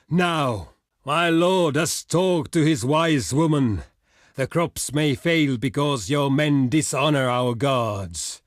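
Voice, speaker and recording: Proud, solemn barbarian envoy. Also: male voice, a little high, no room sound, clean studio sound. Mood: grave, insistent. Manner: formal, solemn, declamatory. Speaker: diplomatic messenger. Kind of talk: theatrical video game voice lines.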